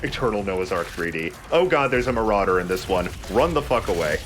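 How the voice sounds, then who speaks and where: A man's voice: low voice, animated, fairly quick, fairly bright tone, slight background noise. A man giving casual gameplay commentary as host of a let's play, explaining mechanics as he goes. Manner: explains game mechanics as he plays.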